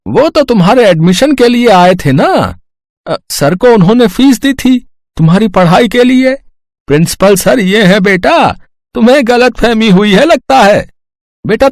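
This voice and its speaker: Friendly, deferential male school helper for a moral tale, played by a man, high voice, animated, dry sound. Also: unhurried, dry recording, exaggerated voice. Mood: explaining.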